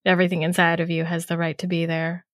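A woman hosting a podcast, warmly affirming her guest with soft murmurs and gentle enthusiasm. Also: lowish voice, completely flat delivery, fast talker, no echo, clean studio sound. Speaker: podcast host. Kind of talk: relaxed spiritual conversation.

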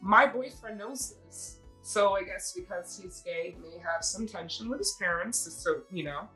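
A woman podcast host chatting casually and reading stories aloud, addressing a co-host in an animated storytelling way. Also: medium pitch, moderate pace, faint music behind, low-quality recording.

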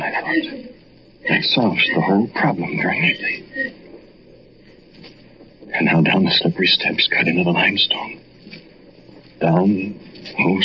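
An actor playing a scheming, duplicitous man in theatrical 1940s radio style. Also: low-pitched. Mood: sly, calculating, then hushed, tense. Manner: theatrical, switching between pleading and hushed inner narration. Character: scheming, duplicitous man feigning innocence and friendship. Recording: noisy, muffled 1940s radio broadcast.

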